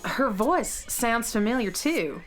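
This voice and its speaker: A woman's voice: on the high side, expressive, slow, a little hiss or hum. A woman playing a plucky, practical space cowgirl with folksy, emphatic phrasing in a children's audio drama. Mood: astonished.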